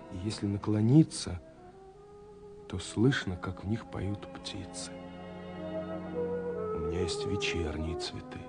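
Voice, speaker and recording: A man's voice: on the low side, exaggerated voice. An actor playing an ardent, poetic young man in love, reading letters with rapt tenderness in a radio play. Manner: rapt, swelling then dropping to hushed tenderness. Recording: 1970s radio production with music. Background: music.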